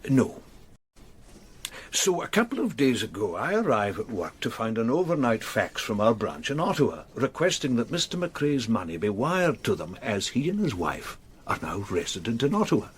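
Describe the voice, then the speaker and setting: Actor as a lively-pitched, businesslike male bank manager. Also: moderate pace, lightly acted. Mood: earnest, explanatory. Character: prim, talkative male bank manager reporting suspicions. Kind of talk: radio drama acting.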